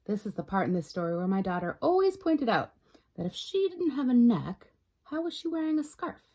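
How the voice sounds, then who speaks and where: A woman reading a picture book aloud as a storytime librarian, expressive and talking directly to young listeners. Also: fairly high pitch, brisk. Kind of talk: children's read-aloud narration.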